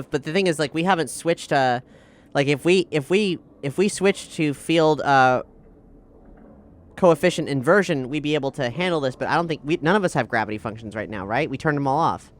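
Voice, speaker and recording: A man player, halting and thinking aloud. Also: a little high, fairly bright tone. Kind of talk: casual tabletop play.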